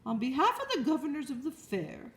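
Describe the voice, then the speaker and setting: A woman's voice: big pitch swings, fairly quick. A woman reading aloud and voicing a long-winded male fair announcer in a grand, declaiming way, in a roomy space. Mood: ceremonious. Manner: grand, declaiming, high and loud. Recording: roomy echo.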